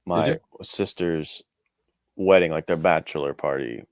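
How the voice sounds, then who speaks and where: A man with a deep, creaky voice in a dry, close recording. He talks casually as a podcast guest, with informal slang and a quick flow. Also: dry recording. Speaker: guest, indie adventure author. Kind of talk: casual chat.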